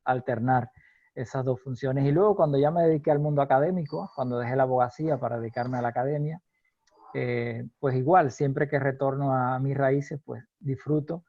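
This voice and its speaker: A Cuban man from Holguín, a law professor, answering interview questions in a measured, hesitant way, with many fillers and frequent laughs. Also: medium pitch, fairly even intonation, lo-fi sound. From Cuba, Holguín.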